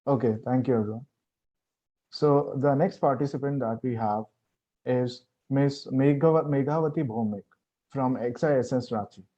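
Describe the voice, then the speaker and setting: Mellow Indian male host, muffled audio. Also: medium pitch, moderate pace. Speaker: contest host. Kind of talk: casual event hosting. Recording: dull lo-fi audio.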